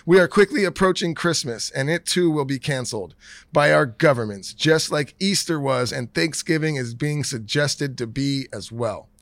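A man's voice: on the high side, fairly bright tone, no echo. A man co-hosting a casual talk show who is losing his voice, hesitantly reading out a news article. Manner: hesitant, reads an article slowly. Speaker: podcast co-host.

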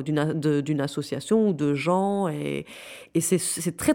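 The lowish-voiced woman guest on an interview show, earnestly pressing her points home. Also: darkish tone. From Syria. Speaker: interview guest, founder of a youth non-profit. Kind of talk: conversational interview.

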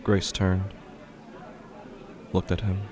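A man narrating a scripted horror audio drama in a hushed, halting way that often drops into whispers. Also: low voice, monotone, a faint crowd. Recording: clear background noise and ambience.

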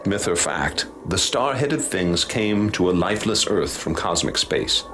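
A haunted professor in a radio drama, his voice low and grave. He speaks formally and with ominous weight, measuring out each phrase. Clear noise and ambience sit behind him. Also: expressive, unhurried. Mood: grave, measured. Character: grave haunted male professor recounting horrors he witnessed.

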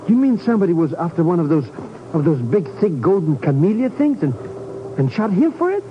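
Comic slangy sidekick in a 50s radio show, very animated, muffled hissy old recording. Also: male voice, sits high, brisk. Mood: baffled, alarmed. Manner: slangy, muddled malapropisms. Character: comic, streetwise, excitable Casablanca sidekick with fractured English. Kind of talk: 1950s radio drama acting.

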